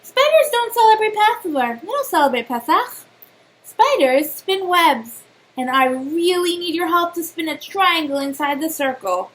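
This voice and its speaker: A woman voicing a patient, gently firm mother spider in a children's storybook, explaining things and fondly chiding. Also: extremely high pitch, lively intonation, normal speed. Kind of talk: children's storybook read-aloud.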